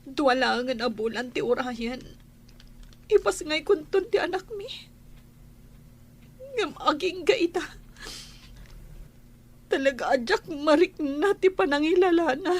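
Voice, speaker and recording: A high-pitched young Ilocano wife from an audio drama, played by an actress, humble and worried. Her delivery is earnest and plaintive, with some noise behind the voice. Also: lively intonation, a little slow, long pauses. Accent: Filipino, Ilocano.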